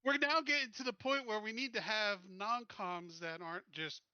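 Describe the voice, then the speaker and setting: A man's voice: sits high, moderate pace, lo-fi sound, heavy background noise. A man chatting casually as a player in a tabletop roleplaying game session.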